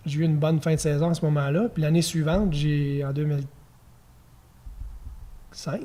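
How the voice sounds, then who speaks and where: A man in his thirties with a Quebec French accent, a former pitcher chatting as a podcast guest, telling stories easily with chuckles and fillers. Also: moderate pace. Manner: relaxed storytelling with fillers and chuckles. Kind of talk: casual podcast conversation.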